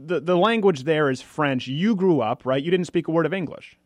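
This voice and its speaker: A young adult man hosting a political talk radio show, talking fast and argumentatively with frequent asides. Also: fairly high pitch, no echo, clean studio sound. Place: radio studio.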